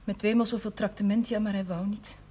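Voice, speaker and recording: An actress playing a self-sacrificing priest's housekeeper in a television drama, earnest, plain-spoken and resigned. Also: female voice, mid-range voice, steady tone, brisk, mellow, lightly acted. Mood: subdued, regretful. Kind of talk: naturalistic television drama acting. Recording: noisy old television soundtrack.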